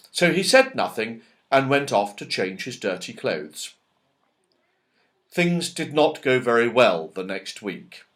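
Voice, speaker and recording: A man narrating a children's story aloud in an expressive, dramatic way. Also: mid-range voice. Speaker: audiobook reader.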